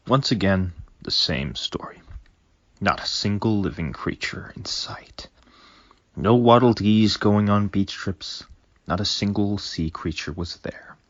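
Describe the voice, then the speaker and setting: Man, deep voice, breathy, dramatic story reading, dry muffled home recording. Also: no echo. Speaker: fanfic reader. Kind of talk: reading a fanfic aloud.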